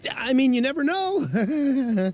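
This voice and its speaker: A man's voice: very high voice, sing-song, slow pace, a little mellow, full character voice. A man voicing a wary, deferential merchant in a video game, wordy and hesitant in his ingratiating politeness. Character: fussy, wary, deferential male merchant buyer. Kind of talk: English video game voice acting.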